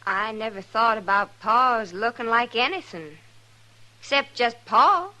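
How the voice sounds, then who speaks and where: A woman's voice: a little high, expressive, unhurried, sharp tone, low-quality recording. A woman acting a simple, naive country girl in a 1950s radio western, with a rural American accent and an artless, literal way of talking. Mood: artless, puzzled.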